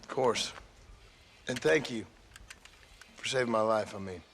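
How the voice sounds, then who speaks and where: An actor playing a reserved, weathered Old West gunslinger in a video game, with an American accent and a dry, formally polite manner. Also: male voice, lowish voice, a little slow, fairly bright tone, slight background noise. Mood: weary, polite. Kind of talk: video game cutscene acting.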